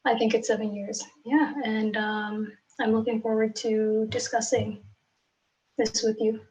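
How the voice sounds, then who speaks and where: A woman's voice: a little high, level tone, a little slow, a little mellow, poor audio. A young woman from Somalia, a guest on a casual podcast, talking hesitantly with many likes and ums. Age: young adult.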